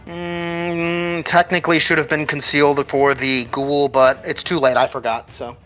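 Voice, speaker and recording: A man with a fairly high voice acts as game master, talking casually through rules and turns. The sound is slightly band-limited, with music playing behind him. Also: little pitch movement, a little slow, fairly bright tone, highs cut off. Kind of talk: casual tabletop game running. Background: background music.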